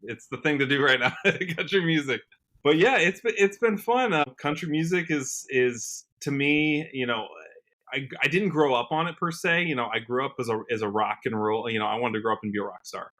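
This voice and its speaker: An American man in his early thirties with a bright, forward voice. He talks casually as a musician guest in an interview, in a conversational way peppered with "you know.". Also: mid-range voice, lively intonation, a little fast. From Washington State, United States. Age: early 30s.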